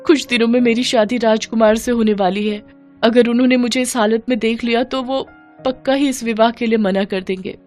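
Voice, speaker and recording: A woman's voice: on the high side, steady tone, fairly quick, a little mellow, voice pushed up, lightly acted. A woman voicing a vain young village woman in a storybook tale, fretful and complaining, with music behind. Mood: worried.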